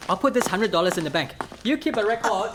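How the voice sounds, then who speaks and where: A woman's voice: medium pitch, expressive, fast, background noise, lightly acted. A woman playing a coaxing, insistent young office worker in a radio play, with a Singaporean accent. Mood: persuasive. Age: young adult. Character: earnest, persuasive young working adult child, office employee.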